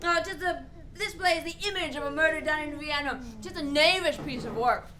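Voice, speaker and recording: A woman playing a mocking, excitable young Danish prince in a Shakespearean radio play. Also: sits high, fairly quick, a crowd in the background, close to an everyday voice. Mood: gleeful mockery. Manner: sardonic, excitable, exclamatory.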